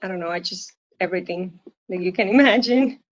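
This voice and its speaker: A woman's voice: on the low side, brisk, no echo. A woman from Puerto Rico with a Puerto Rican accent, Spanish her first language, talking earnestly and hesitantly with many restarts in a coaching session. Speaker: coaching client, working mom. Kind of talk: live coaching conversation. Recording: dull lo-fi dry audio.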